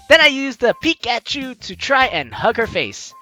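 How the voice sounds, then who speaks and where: Casting: excitable let's play host, bright tone, lively swings in pitch, stammering asides, playful delivery. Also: a little slow, soft background music. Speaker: gaming video host. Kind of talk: let's play commentary, reading game text aloud.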